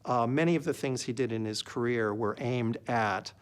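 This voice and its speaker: A man's voice: medium pitch, normal speed, noisy background. A man giving an explanatory commentary in a documentary interview.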